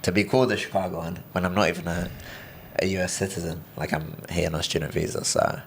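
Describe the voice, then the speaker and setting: Gravelly low-voiced Brazilian man. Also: steady tone, quick pace, slight background noise. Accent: British-tinged Brazilian. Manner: rambling, many fillers and restarts. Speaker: podcast guest. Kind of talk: casual interview chat.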